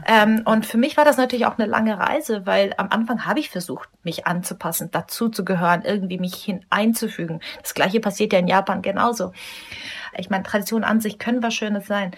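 A woman's voice: medium pitch, fairly quick, breathy edge. A woman from Munich in her thirties, a pianist giving thoughtful, hesitant answers in a radio interview. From Germany, Munich. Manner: thoughtful, hesitant with many fillers.

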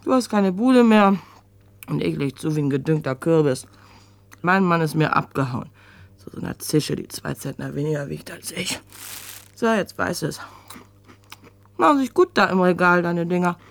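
A brusque shop woman in a radio drama. Her voice is low, with a lot of gravel, and she pries and mutters in blunt everyday speech. The sound is dry. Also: expressive, normal speed, long pauses, no room sound. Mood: grudging, businesslike. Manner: blunt, colloquial, prying, muttering to herself. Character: brusque, nosy, heavy-set female kiosk keeper. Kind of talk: German radio drama acting.

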